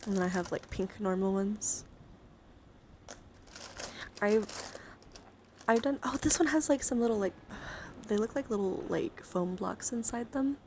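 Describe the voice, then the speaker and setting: A woman livestreamer chatting casually in an ASMR style, halting and rambling as she thinks aloud. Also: medium pitch, a little mellow, slight background noise. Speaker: livestreamer showing a dice collection. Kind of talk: casual ASMR chat to viewers.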